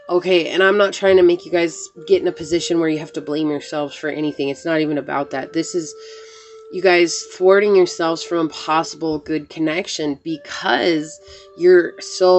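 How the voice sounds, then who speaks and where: A woman's voice: deep voice, a little mellow. A woman giving an earnest, reassuring collective tarot reading to camera, talking straight to her viewers. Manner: earnest, reassuring, addresses viewers as "you guys". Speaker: tarot reader.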